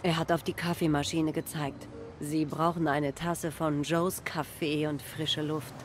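An actress playing an earnest, wistful diner waitress in a video game, speaking sincerely and a little hesitantly. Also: female voice, low voice, flat delivery, measured pace, music in the background. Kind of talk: German game dub, dramatic dialogue.

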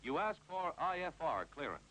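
A man's voice: fairly high pitch, sing-song, moderate pace, bright, forceful tone. An American man reading scripted instructional narration for a 1950s training film, with a clipped, authoritative delivery. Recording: noisy 1950s film soundtrack with hum.

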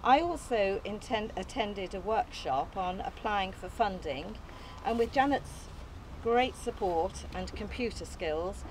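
A woman's voice: mid-range voice, a little slow, a little hiss or hum. An older woman delivering an earnest, modest opening speech outdoors from notes, with small hesitations and light laughs, picked up by a distant camera. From England. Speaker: allotment group founder giving an opening speech. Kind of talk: prepared speech read from notes. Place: outdoors on an open field site. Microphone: camera at a distance, no mic.

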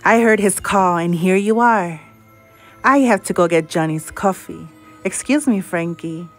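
An actress playing a mysterious potion-shop proprietor in an audio drama, formal and enigmatic. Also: female voice, fairly low pitch, a little slow, faint music behind. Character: mysterious female potion-shop proprietor, fortune-teller type. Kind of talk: comedic crime audio drama acting.

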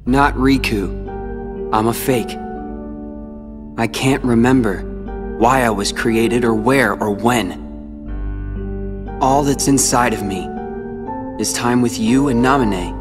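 A man's voice: medium pitch, slow pace, pauses often, lightly acted. An actor playing a somber, determined young man who knows he is a copy, in a video game cutscene. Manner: quiet, resolute, somber. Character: determined young male replica, quiet and resolute. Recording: reverberant game audio with faint music.

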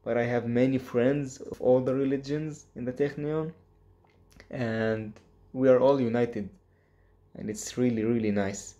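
A young man in his twenties, a university student from northern Israel whose first language is Arabic, recording a halting, earnest greeting on a webcam in a small room. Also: mid-range voice, fairly even intonation, dark tone, dull audio. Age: 20s. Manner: halting, earnest, with fillers and restarts. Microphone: webcam on a video call.

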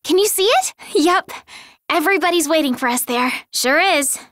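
Perky teen girl game sidekick, bright punchy voice, super clean dry recording, upbeat and supportive. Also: very high voice, moderate pace, dry recording. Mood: bright, friendly. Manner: perky, encouraging, expressive. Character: cheerful, spirited, supportive teenage girl companion in a fantasy adventure. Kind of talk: video game voice acting.

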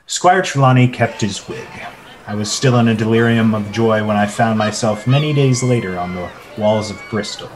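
A man's voice: fairly low pitch, moderate pace, a crowd in the background, lightly acted, rough recording. A man playing a narrator who recalls his boyhood sea adventure in a radio play. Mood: reflective, storytelling. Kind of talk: scripted narration.

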